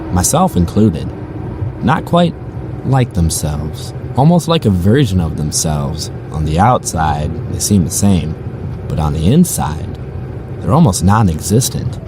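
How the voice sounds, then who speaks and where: A man playing a weary, musing rideshare driver who narrates eerie stories in a conversational, first-person audio drama style. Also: low-pitched. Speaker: narrator and lead character. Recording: clearly noisy, car and street ambience.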